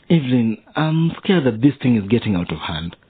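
Radio drama: soothing, cautioning father, husky, lively intonation, narrowband. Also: mid-range voice, a little slow, no room sound. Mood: worried, cautioning. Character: soothing, worried middle-aged father of a young activist. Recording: narrow phone-like sound.

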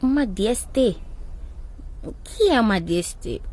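A naive teenage schoolgirl in a radio drama. Her voice is high and slightly husky, anxious in manner. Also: expressive, a little slow, a little mellow. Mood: shocked, worried.

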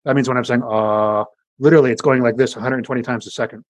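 A man with a deep voice, a scientist explaining his research in an interview in a casual way, with many fillers and restarts. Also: steady tone, fast, no echo.

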